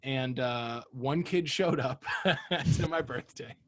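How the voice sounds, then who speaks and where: A man's voice: mid-range voice, slow. A man in his thirties hosting a podcast, telling childhood stories in a chatty way and laughing often. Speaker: podcast host. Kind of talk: casual chat.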